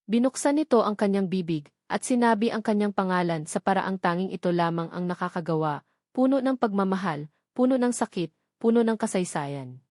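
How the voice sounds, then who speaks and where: A woman's voice: medium pitch, fairly quick, a little mellow, no room sound, studio quality. A woman reading a scripted romance story as a dramatic narrator.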